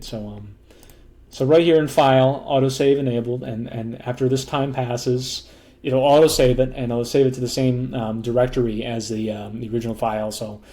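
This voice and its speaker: A hoarse man casually walking through a demo of software he built, rambling loosely with lots of fillers, restarts and blunt joking asides. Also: medium pitch. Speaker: developer demoing his own software. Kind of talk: casual rambling screen walkthrough.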